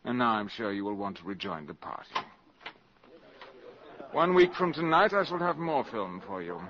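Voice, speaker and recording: An actor playing a suave, cynical valet turned spy in a 1950s radio drama, with urbane, precise, sardonic diction. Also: male voice, lowish voice, very animated, normal speed, noisy background. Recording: dull 1950s radio broadcast recording.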